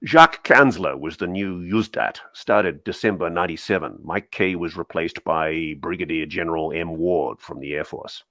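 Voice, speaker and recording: A man doing a semi-dramatic cold reading as a guarded navy admiral, in clipped, halting, deliberate phrases. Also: deep voice, bright, forceful tone, no room sound. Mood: terse. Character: guarded, terse male navy admiral recounting secrets.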